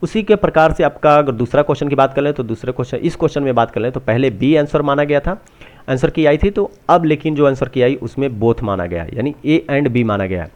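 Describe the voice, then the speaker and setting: A man talks through exam updates unscripted, halting and often restating what he has just said. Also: mid-range voice, a little mellow. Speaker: presenter of exam answer-key updates. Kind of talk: unscripted walkthrough explanation.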